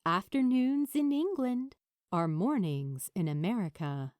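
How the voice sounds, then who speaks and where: Casting: female fiction narrator, unhurried, highly animated intonation, storytelling delivery, recorded dry and close. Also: no room sound. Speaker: audiobook narrator. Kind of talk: expressive scripted fiction narration.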